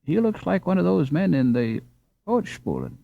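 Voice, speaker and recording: A man's voice: fairly high pitch, huge pitch swings, normal speed, gravelly, no room sound, pitched above the performer's own voice, heavily acted. Man reading as an uneasy, suspicious boy, dry sound. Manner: whispering confidentially. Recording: slightly dull, dry recording.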